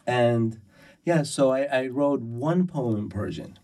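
Thoughtful soft-spoken man, set back from mic. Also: medium pitch, expressive, slow, far from the mic. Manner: thoughtful, hesitant, many fillers and restarts. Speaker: poet and translator, interview guest. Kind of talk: relaxed conversational interview.

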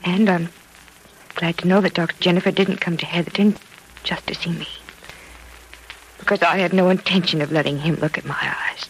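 Actress as a despondent radio-serial patient, noisy recording. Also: female voice, fairly low pitch, fairly even intonation, a little fast, long pauses, close to an everyday voice. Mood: bitter, resistant. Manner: bitter, resigned, hesitant. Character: despondent, stubborn young woman patient losing her sight. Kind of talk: old-time radio serial drama acting.

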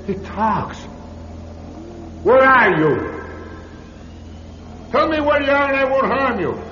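Flustered priest in echoing space. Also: male voice, very high voice, slow pace, full character voice. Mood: agitated. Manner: excitable, muttering, theatrical. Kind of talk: old-time radio drama acting. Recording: dull, noisy old radio recording, echoing hall.

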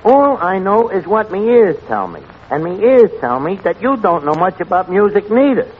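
Tinny old-radio New York wisecracker. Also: male voice, high-pitched, moderate pace, slightly rough, full character voice. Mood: blunt, sardonic. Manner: deadpan, mangles grammar and big words. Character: wisecracking lowbrow tavern manager, sardonic malapropping man. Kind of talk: 1940s radio comedy acting. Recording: tinny, noisy old radio broadcast recording.

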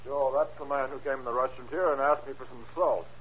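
A man's voice: mid-range voice, steady tone, fast talker, husky, lightly acted. An actor playing an earnest, plain-spoken male restaurant worker as a witness in an old-time radio crime drama. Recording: muffled vintage radio recording.

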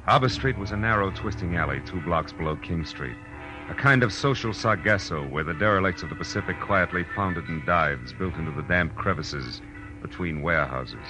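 An actor playing a tough, wry private detective in 1940s radio drama style, terse and dramatic. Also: male voice, sits low, moderate pace, close to an everyday voice. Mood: tense narration. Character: tough, wry male private detective narrating his case. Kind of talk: 1940s radio drama acting, hard-boiled narration. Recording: muffled old radio recording with music.

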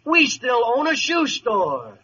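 A man's voice: extremely high pitch, wildly swooping pitch, slow pace, exaggerated voice. A man playing a scheming, wisecracking small-time racketeer boss in old radio comedy, blustering and grumpy in slangy, streetwise talk. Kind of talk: 1940s radio comedy acting. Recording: muffled, noisy old radio broadcast.

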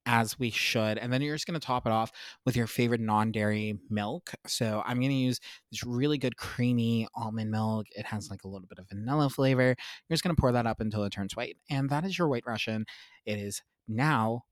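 A young man hosting his own podcast in a casual, upbeat, self-mocking chat, a little congested and clearing his throat. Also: mid-range voice, no echo, clean studio sound. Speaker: podcast host.

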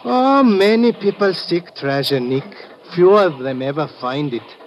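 A man's voice: fairly high pitch, lively intonation, a little slow. A man playing a happy-go-lucky calypso-singing deckhand with a lilting manner in a 1950s radio drama. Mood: easygoing. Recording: dull, noisy vintage radio recording.

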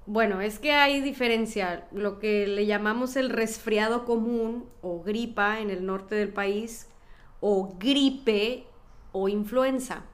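A woman's voice: medium pitch, slow pace. A woman with a Mexican accent, a doctor explaining things in casual podcast conversation.

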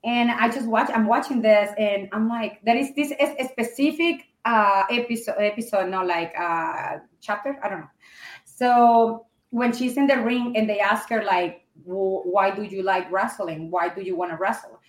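Woman in an echoey room. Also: medium pitch. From Colombia. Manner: chatty, searching for words, quick laughs. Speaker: guest, pro wrestler. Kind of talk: casual interview chat.

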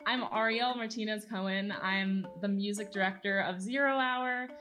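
A woman's voice: medium pitch, normal speed, sharp tone, soft background music. An eighteen-year-old woman from Los Angeles hosting a podcast, speaking earnestly with many fillers. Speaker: podcast host, youth organizer. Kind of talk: casual podcast chat.

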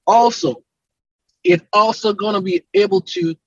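A man's voice: high voice, very animated, measured pace, vocal fry, a bit bright, husky, dry recording, muffled recording. A Nigerian-accented man speaking as an entrepreneur in a conversational interview, explaining at length with emphatic, repeated lists. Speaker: entrepreneur and company CEO, podcast guest.

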